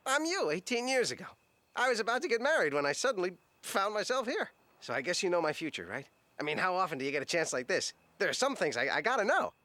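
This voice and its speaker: An actor playing an eager, anxious young man about to marry in an animated comedy, earnest and full of wonder. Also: high-pitched, fast, full character voice. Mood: earnest, curious.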